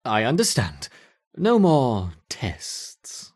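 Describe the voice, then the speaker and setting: Eccentric male game scientist, stubborn and muttering, wildly swooping delivery, slow and husky. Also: mid-range voice, huge pitch swings, heavy background noise, exaggerated voice. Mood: agitated, deferring. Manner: muttering, huffy, theatrical. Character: eccentric, stubborn male scientist doting on his ant colony. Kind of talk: acted video game dialogue.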